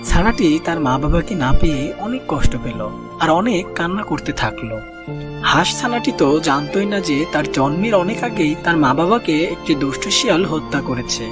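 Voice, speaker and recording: Storybook narrator over clear music. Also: mid-range voice, expressive, normal speed. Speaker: narrator of a children's cartoon channel. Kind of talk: scripted storybook narration for children. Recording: music bed behind narration.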